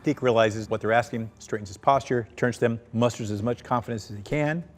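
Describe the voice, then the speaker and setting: A man's voice: medium pitch, brisk, slight background noise. A man reading screenplay directions aloud as narrator at a table read.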